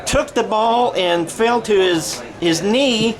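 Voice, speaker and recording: A man doing radio-style football play-by-play, excitable, thinking aloud and correcting himself as he follows the action. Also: high-pitched, slow, crowd noise, low-quality recording.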